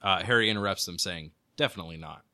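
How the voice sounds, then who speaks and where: A man's voice: low voice, fast talker, sharp tone. A man acting as a podcast producer, casually reading out quiz questions and chatting with dry, wry remarks.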